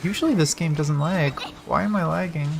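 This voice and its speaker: Lower-pitched woman streaming a game. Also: a little fast, creaky. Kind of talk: live game stream, reading story dialogue aloud and commenting casually.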